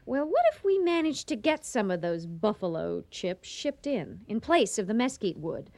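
A woman's voice: mid-range voice, big pitch swings, moderate pace, darkish tone, no room sound. A woman playing a feisty, indignant restaurant owner with a sarcastic streak in a radio comedy. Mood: scheming. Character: exasperated, feisty female restaurant owner, competitive and vengeful.

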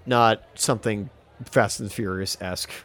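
A man's voice: on the low side, steady tone, normal speed, fairly bright tone. A man chatting casually about films as a co-host on a podcast.

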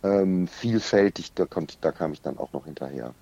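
A man with a low, husky voice speaks as a guest in a casual conversation. He is measured and wryly understated, and the sound is dry, without room echo. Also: warm tone, muffled recording. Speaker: interview guest.

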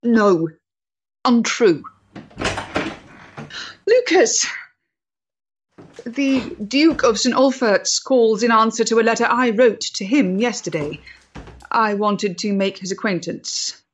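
A resolute, self-contained Victorian woman, played by an actress, speaks slowly at a medium pitch. Her stage-drama delivery is measured and terse, on a dull recording with slight background noise. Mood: distressed. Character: resolute, guarded woman reformer of the 1890s. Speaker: actor. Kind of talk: period stage-drama acting. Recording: muffled, slightly noisy recording.

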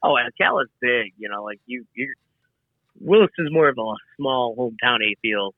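A man as a podcast guest, chatting casually in a relaxed, rambling way with many fillers. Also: medium pitch, moderate pace, dry recording, phone-quality sound. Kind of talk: casual chat.

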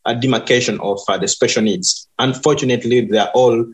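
A man from Uganda, a guest answering interview questions in a hesitant way, with many pauses and fillers. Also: medium pitch. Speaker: guest, university administrator.

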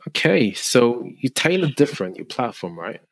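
A man's voice: mid-range voice, big pitch swings, moderate pace, gravelly, dry recording. A man hosting a podcast, chatting casually with a guest, with many fillers and restarts. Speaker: podcast host. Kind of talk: casual interview chat. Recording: dry with faint music.

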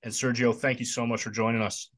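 Man, podcast host, mid-pitched voice, upbeat, welcoming. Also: little pitch movement, fast, fairly bright tone. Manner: upbeat welcoming tone, friendly questions. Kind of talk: podcast intro and interview questions.

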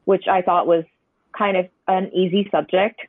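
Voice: medium pitch, level tone, moderate pace, no room sound. Painter over a tinny line. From Hong Kong. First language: Cantonese. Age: 20s. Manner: reflective, meandering, many hedging asides. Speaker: painter, interview guest. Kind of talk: conversational podcast interview. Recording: tinny, dry phone-line audio.

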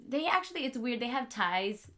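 Bright-voiced chatty woman host. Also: on the high side, big pitch swings, moderate pace. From Los Angeles, US. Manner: chatty, lots of fillers and laughter. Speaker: podcast host. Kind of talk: casual conversation.

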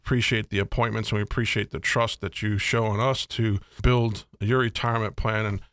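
A man's voice: fairly low pitch, flat delivery, brisk, fairly bright tone, no echo, clean studio sound. A middle-aged American man hosting a radio show as a financial advisor, explaining things in a folksy, conversational sales style.

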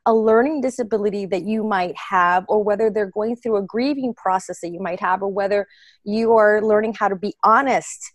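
An earnest, expressive woman answers interview questions, laughing easily as she talks. Her voice sits in the middle for a woman. The sound is dry and close. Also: medium pitch, normal speed, no room sound. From United States. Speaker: guest, children's book reader.

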